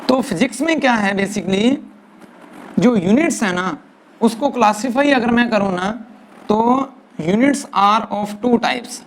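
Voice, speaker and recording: A male physics teacher giving a lecture, mixing English into Hindi, dictating definitions slowly word by word and often checking with "right na". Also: high voice, animated. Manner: mixes English into Hindi, keeps asking "right na". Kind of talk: classroom lecture.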